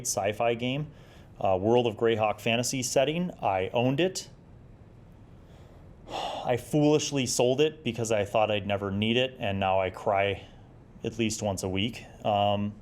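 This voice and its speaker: Low-pitched middle-aged man, casual rambling commentary. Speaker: YouTube host reviewing vintage gaming magazines. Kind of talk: casual commentary, thinking aloud while browsing.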